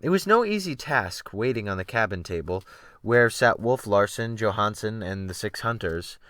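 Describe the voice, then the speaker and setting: Male narrator for classic fiction: theatrical, deliberate phrasing, animated intonation, medium pitch, dry close sound. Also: dry recording. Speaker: audiobook narrator. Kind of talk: dramatic reading of classic fiction.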